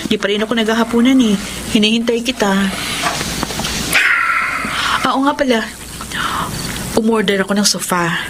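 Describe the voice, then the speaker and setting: High-voiced young wife played by an actress, noisy track. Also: female voice, brisk, natural voice. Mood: concerned, homely. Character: worn-down, anxious young wife and working mother. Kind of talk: Tagalog radio drama acting.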